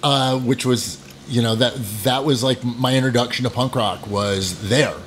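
A man's voice: medium pitch, steady tone, unhurried, slight background noise. A middle-aged man from New England, guesting on a casual podcast, rambling through stories with self-deprecating jokes and many restarts. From New England, United States. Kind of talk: casual storytelling interview.

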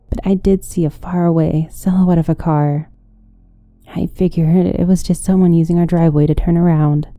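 A woman's voice: lowish voice, dark tone, no echo. A woman narrating a scripted first-person horror story.

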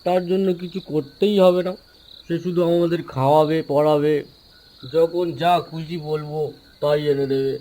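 A naive young village lad in a cartoon, acted by a man, with a fairly high, soft-edged voice. He sounds headstrong and lazy. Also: fairly even intonation, normal speed, slight background noise, natural voice. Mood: scheming.

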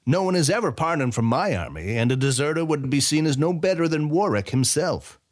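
A man playing a booming shrewd general. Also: animated, fairly quick. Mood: patronizing. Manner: booming, declamatory. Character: commanding shrewd male general, mocking.

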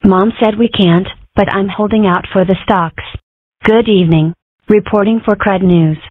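Woman as stilted, wry comic-strip fox, medium pitch, narrow phone-like recording. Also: fairly even intonation, normal speed, no room sound, lightly acted. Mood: newsy. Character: wry young fox girl and her fox mother. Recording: muffled, narrow phone-like sound, close and dry.

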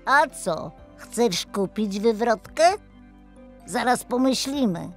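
A woman voicing a cocky old little car, a male gangster boss in a children's storybook, brisk, streetwise and commanding. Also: on the high side, expressive, faint music behind, voice pushed up. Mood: amused.

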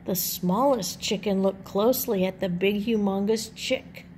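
A woman reading a children's picture book aloud in an animated, deliberate storytelling manner. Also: medium pitch, a little hiss or hum. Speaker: storybook reader.

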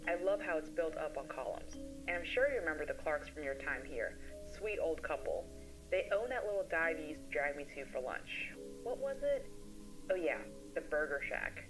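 A composed child therapist played by a woman briefs a colleague in a low voice. She is measured and professional but conversational, in an analog horror case report with music playing behind her. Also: expressive, rough recording. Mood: composed, businesslike.

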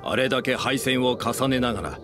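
An actor playing an earnest, dutiful general in a video game, formal and firm. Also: male voice, medium pitch, moderate pace, close to an everyday voice. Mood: grave. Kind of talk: dramatic Japanese video game cutscene acting. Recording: studio voice over loud game music.

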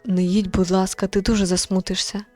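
A woman reading the part of a gentle, anxious young man in a visual novel, softly and earnestly pleading. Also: mid-range voice, flat delivery, fairly quick, no echo, faint music behind, lightly acted.

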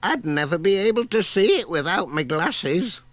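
A man's voice: sits high, lively intonation, normal speed, fairly bright tone, no echo, voice pushed up. A man voicing a homely, plain-speaking old granny in a children's storybook. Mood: fussing, homely.

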